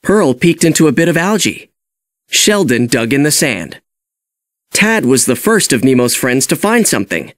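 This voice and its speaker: Animated male children's storybook narrator. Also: medium pitch, normal speed, no room sound, studio quality. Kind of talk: expressive scripted children's read-along narration.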